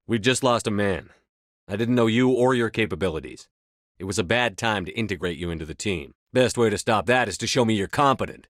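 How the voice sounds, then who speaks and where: Firm military commander from a video game, low voice, terse, dry close sound. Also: male voice, fairly bright tone, no echo. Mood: stern, measured. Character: resolute, commanding male military commander of a starship crew. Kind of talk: video game voice acting, scripted dialogue lines.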